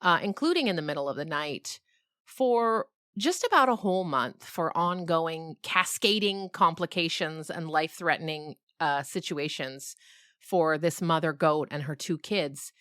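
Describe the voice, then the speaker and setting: A woman's voice: deep voice, measured pace, a bit bright, smooth, dry recording, studio quality. A woman podcast creator chatting casually and informally, as if to friends. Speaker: podcast creator and host, being interviewed. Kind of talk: casual chat.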